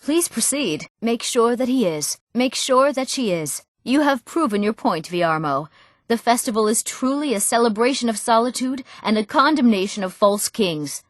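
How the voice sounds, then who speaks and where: An actress voicing young townswomen and nobles in a fantasy video game, with earnest, clearly spoken scripted lines. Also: female voice, on the high side, lively intonation, moderate pace, no echo, clean studio sound, natural voice. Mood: formal, regal. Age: young adult.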